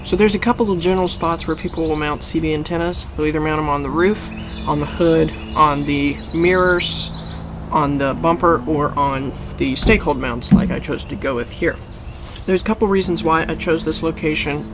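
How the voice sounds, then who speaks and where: Higher-pitched man giving step-by-step how-to commentary. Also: moderate pace, background noise. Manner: methodical step-by-step explaining. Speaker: host of a DIY how-to channel.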